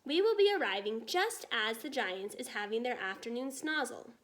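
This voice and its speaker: A woman voicing a gentle, friendly storybook giant who speaks in muddled grammar and invented words. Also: a little high, lively intonation, normal speed, lightly acted. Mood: earnest.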